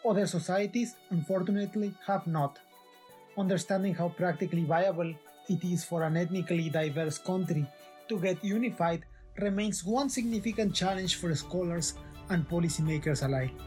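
A man hosting a podcast, asking questions and reading a formal scripted outro in a deliberate way. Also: sits high, a little mellow, soft background music. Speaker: podcast host.

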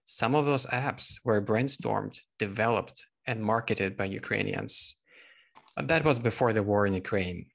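A man's voice: lowish voice, a little slow, creaky, a bit bright. A man hosting a podcast, introducing and interviewing a guest. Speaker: podcast host.

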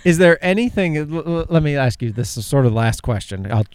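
A man's voice: a little high, expressive, a little fast, darkish tone, smooth. A man from upstate New York hosting a casual interview, asking questions with enthusiastic restarts. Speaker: podcast host.

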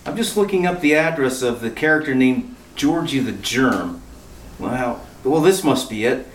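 A man voicing a suave, breezy detective in an old-style radio play, his dry lines bouncing up and down in pitch. He sounds a little off mic in an echoey room. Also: animated, normal speed, distant mic, lightly acted. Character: suave wisecracking male detective. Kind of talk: retro radio drama acting.

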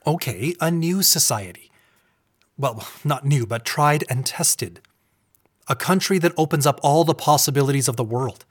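A man acting a well-meaning but self-satisfied husband in an audio drama, earnest and condescending, halting when challenged. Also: a little high, slightly rough. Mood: defensive.

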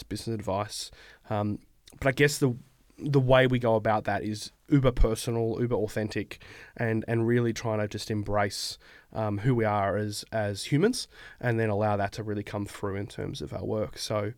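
A man's voice: mid-range voice, no echo, studio quality. A man in his thirties with an Australian accent, a guest on a podcast, talking in a laid-back, rambling way with lots of fillers. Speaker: guest, accounting firm owner. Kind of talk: podcast interview. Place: studio.

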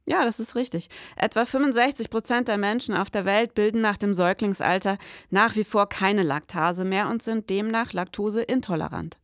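A woman's voice: medium pitch, no room sound, natural voice. Dry-recorded actress as formal female detective. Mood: sober, precise. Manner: formal, matter-of-fact, measured wording. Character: precise, sober female detective, formal noir narrator. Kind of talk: scripted detective audio drama.

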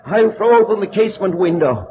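An actor playing a haunted, morbid gentleman of a cursed mansion, speaking in a portentous, theatrical old-time radio manner. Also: sits high, lively intonation, brisk. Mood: feverish dread. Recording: muffled, noisy 1940s radio recording.

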